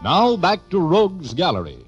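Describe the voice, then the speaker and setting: Animated male old-time radio announcer. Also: high-pitched, normal speed, lo-fi sound. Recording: old radio broadcast, music behind.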